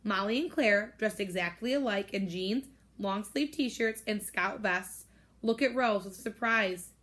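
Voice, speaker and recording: A woman teacher reading a novel aloud to her students, with expressive narration. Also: measured pace. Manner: expressive, raises pitch for girls' voices. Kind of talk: storybook read-aloud with character voices.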